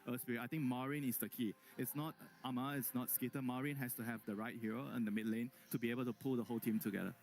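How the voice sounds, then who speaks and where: A man of average pitch speaks at a quick clip. He is an esports analyst breaking things down on a panel. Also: fairly even intonation, darkish tone.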